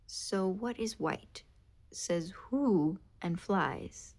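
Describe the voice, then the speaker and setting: Woman voicing a timid jumpy young rabbit boy, nervous, storybook, slow. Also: medium pitch, animated, a little mellow, heavily acted.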